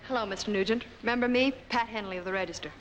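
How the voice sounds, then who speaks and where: Actress as brisk, teasing girl reporter, 1940s film. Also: medium pitch, a little hiss or hum, natural voice. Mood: bright, teasing. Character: brisk, teasing, inquisitive female newspaper reporter. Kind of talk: 1940s film noir acting. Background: music.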